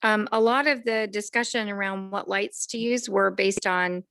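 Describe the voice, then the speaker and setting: A woman's voice: mid-range voice, steady tone, normal speed, gravelly, fairly bright tone, clean tone, no room sound. A woman attending an online workshop, speaking politely and a little hesitantly as she asks an informal question. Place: online video call.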